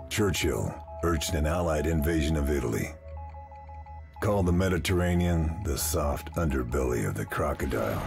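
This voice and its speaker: An extremely low-voiced Allied sniper agent in a war game. He narrates in terse, stern phrases, hard-boiled and grim. Music plays clearly behind him. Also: heavily acted. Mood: grave, measured. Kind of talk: scripted game cutscene narration.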